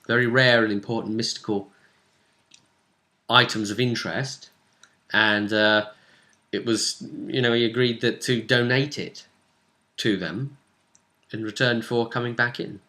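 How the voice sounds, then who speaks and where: A man's voice: medium pitch, steady tone, unhurried, long pauses, pushed and bright. A man speaking as game master, casually recapping a tabletop roleplay session in a conversational, storytelling manner. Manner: conversational, storytelling, acts out brief character quotes.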